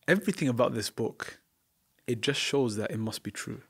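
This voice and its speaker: A man's voice: mid-range voice, lively intonation, moderate pace, creaky, slightly rough. A man who teaches the Quran, speaking as a podcast guest in an emphatic, argumentative way full of rhetorical questions. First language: not Arabic. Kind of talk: podcast conversation.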